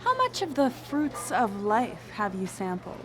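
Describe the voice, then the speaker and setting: A woman's voice: fairly high pitch, huge pitch swings, measured pace. A woman playing a bold, worldly, flirtatious small-town woman on a date in a live radio drama, with a teasing, suggestive manner. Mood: seductive.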